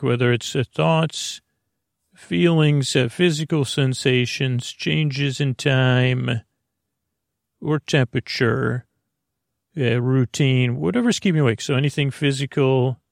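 A man with a medium-pitched, heavily creaky voice delivers a bedtime podcast monologue. His manner is soothing and meandering, with stammers and sentences that break off and restart. The sound is very dry, with no room echo. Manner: soothing, meandering, halting with frequent stammers and self-interruptions. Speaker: podcast host.

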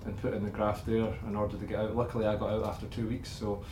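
A young man chats casually in a low, very even voice. The room echoes around him, with faint noise behind. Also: quick pace. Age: young adult. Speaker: co-host, professional rugby player. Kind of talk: casual chat. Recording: roomy echo with faint background noise.

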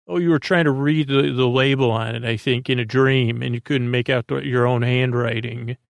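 A man hosting a bedtime podcast in a creaky voice, rambling in a halting, meandering way and often trailing off mid-thought. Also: mid-range voice, fairly even intonation, no room sound. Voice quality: gravelly, creaky. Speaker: host of a bedtime sleep podcast. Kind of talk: rambling, soothing monologue. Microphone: close podcast microphone, dry.

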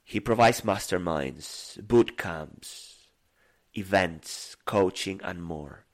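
A man with a Venezuelan Spanish accent, hoarse from a cold, co-hosting a podcast with teasing banter and a hyped-up guest introduction. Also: low-pitched, takes it slowly. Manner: teasing, stumbling restarts, building dramatic intro. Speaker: co-host.

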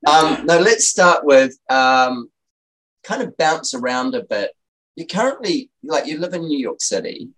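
A man's voice: mid-range voice, low-quality recording. A man hosting a design interview podcast, chatty and rambling, restarting his questions with lots of ums. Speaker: podcast host. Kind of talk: relaxed interview chat.

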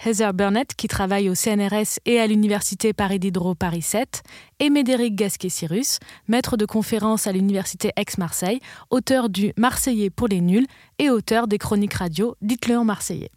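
A woman hosting a podcast, giving clear, measured scripted narration and interviewing guests. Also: medium pitch, a little mellow, no room sound, studio quality. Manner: clear, measured, articulate delivery. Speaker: podcast host.

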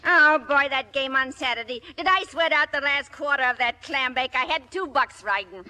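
A brassy American maid in an old radio comedy. Her voice is high and sharp, blunt and full of slang, ringing in a reverberant hall. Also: normal speed. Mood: brassy, unapologetic. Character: brassy, slangy household maid who bets on games. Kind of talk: 1950s radio comedy acting.

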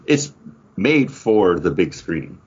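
A man's voice: sits low, sing-song, slow pace, a little mellow, slightly rough, highs cut off. A man hosting a podcast in casual, chatty pop culture banter. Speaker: podcast host.

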